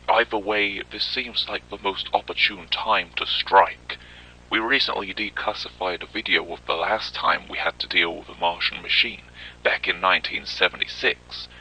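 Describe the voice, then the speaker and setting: Man acting a very deep, forceful government briefing officer. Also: husky, exaggerated voice, rough recording. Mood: grave, urgent. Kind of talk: scripted military briefing narration, analog horror.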